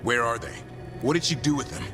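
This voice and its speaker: An actor playing an earnest, righteous warrior companion in a fantasy video game, grave and impassioned. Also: male voice, mid-range voice, brisk, natural voice. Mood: solemn. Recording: loud game music behind.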